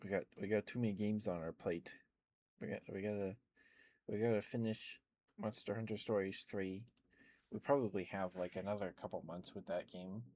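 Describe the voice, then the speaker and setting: A young man, an ASMR streamer, rambling softly in casual chat with frequent chuckles. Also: fairly low pitch, steady tone, moderate pace, a little hiss or hum. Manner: soft-spoken, rambling, chuckles often.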